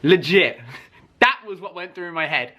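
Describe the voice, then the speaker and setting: Bright, higher-pitched man telling a story. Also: moderate pace. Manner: excitable, emphatic, acts out reactions with exclamations. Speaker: vlogger telling a personal story. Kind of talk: casual animated storytelling with swearing.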